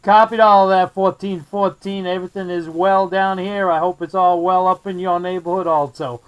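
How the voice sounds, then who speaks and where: American man, high voice, courteous CB operator handing out call signs and sign-offs, slight background hiss. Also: level tone, normal speed, muffled recording. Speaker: CB radio operator, video maker. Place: indoor radio desk.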